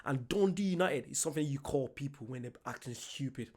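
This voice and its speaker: A young man, doing an excited, chatty reaction vlog and laughing often. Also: mid-range voice, brisk. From Ireland. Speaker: reaction vlogger. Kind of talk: casual reaction commentary.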